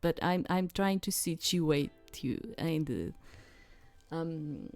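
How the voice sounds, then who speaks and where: A woman doing a casual game playthrough commentary, halting and repetitive, often checking that the listener follows. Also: low voice, darkish tone, soft background music. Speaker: gamer presenting a game playthrough. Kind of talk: reading game text aloud with casual commentary.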